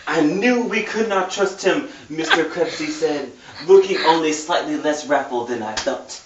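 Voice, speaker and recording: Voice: mid-range voice, normal speed. A person reading a novel aloud casually in a mock-dramatic, giggly way. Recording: roomy, distant mic, muffled, noisy.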